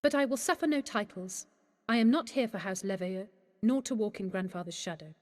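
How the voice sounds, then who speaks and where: A woman's voice: mid-range voice, animated, brisk, darkish tone, natural voice. A woman acts an independent young noblewoman in a fantasy game. Her voice is mid-range, haughty and blunt, carrying long hall reverb. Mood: proud. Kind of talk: formal fantasy game dialogue.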